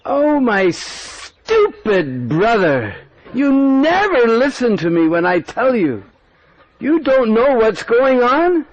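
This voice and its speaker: A man's voice: slightly rough, full character voice. A man voicing a suspicious, scolding woman who nags her brother about his wife. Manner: nagging, scornful, shrill. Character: suspicious scolding woman, a meddling sister-in-law. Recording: dull dry recording.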